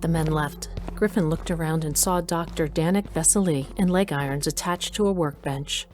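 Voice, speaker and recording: Low-voiced woman narrator over music. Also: fairly even intonation, moderate pace. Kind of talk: scripted story narration.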